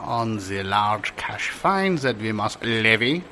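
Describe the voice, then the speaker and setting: A man's voice: fairly low pitch, animated, slow pace, sharp tone, slight background noise, full character voice. A man playing an officious, smug railway border official in an improvised comedy sketch, talking in hesitant mock-reasonable bureaucratic patter. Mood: bossy.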